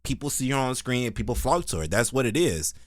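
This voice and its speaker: A man's voice: mid-range voice, very animated, fast talker, no room sound, clean studio sound. An American man giving casual reaction commentary in a slangy, opinionated, rambling way. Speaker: reaction video host.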